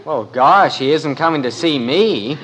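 An actor playing a gawky, bossy but good-hearted American teenage brother with emphatic gee-whiz delivery in 1950s radio comedy. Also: male voice, a little high, lively intonation, measured pace, heavy background noise. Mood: indignant.

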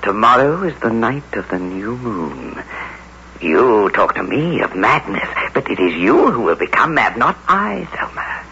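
A man's voice: on the low side, big pitch swings, measured pace, bright, forceful tone, full character voice. An actor in an old radio drama playing a menacing husband who leads a witches' coven, commanding and coldly taunting. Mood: threatening. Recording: dull, noisy old radio recording.

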